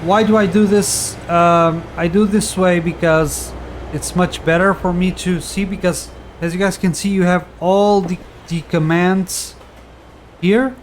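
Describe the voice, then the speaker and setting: A man's voice: high-pitched, measured pace, slight background noise. A man whose first language is Portuguese, casually talking through a game tutorial with many fillers and restarts. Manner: relaxed, rambling, frequent fillers and restarts. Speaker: tutorial host.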